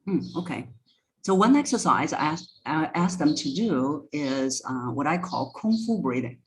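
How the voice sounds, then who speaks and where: A woman speaking as a guest voice coach in a podcast interview, explaining in a deliberate, instructive way and stressing key words. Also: low voice, animated, rough recording.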